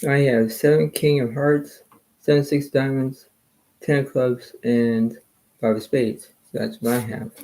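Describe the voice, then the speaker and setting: A soft-spoken man, a little hoarse, hosting a card game and rambling in repeated filler phrases. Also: mid-range voice, fairly even intonation, unhurried, rough recording. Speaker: channel host playing cards. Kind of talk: casual rambling talk to camera.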